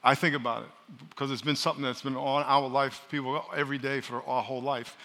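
A pastor preaching a sermon, loud and joking, calling on his congregation to answer back. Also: mid-range voice, flat delivery, fast. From New York. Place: large church hall. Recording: live sermon in a reverberant hall.

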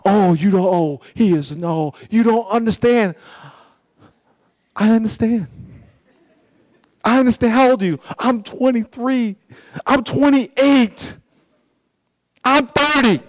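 A man in his fifties, a pastor preaching a blunt, joking sermon to his congregation, with swinging pitch and sudden pace shifts. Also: takes it slowly, long pauses, dry recording, clean studio sound. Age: 50s.

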